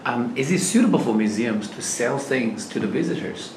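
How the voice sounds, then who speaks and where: A man's voice: mid-range voice, animated, normal speed. A man acting as examiner, asking mock speaking-test questions in short prompts and backchanneling often. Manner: brief prompts, frequent backchannel mm-hmm. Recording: echoey room with clear noise.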